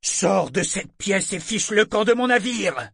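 Guy doing an angry sea captain, snarly and scornful, pitched up, audiobook style, dry sound. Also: very animated, unhurried, vocal fry, fairly bright tone, slightly rough, no echo, full character voice. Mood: roaring fury. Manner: harsh, accusatory, snarling. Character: hard, furious, contemptuous male ship captain. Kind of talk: audiobook character acting.

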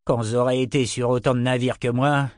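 A man's voice: lowish voice, a little slow, dry recording, clean studio sound, lightly acted. A man voicing a sly, leering, lazy sailor in an audiobook, condescending and colloquial in manner. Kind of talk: audiobook character voice.

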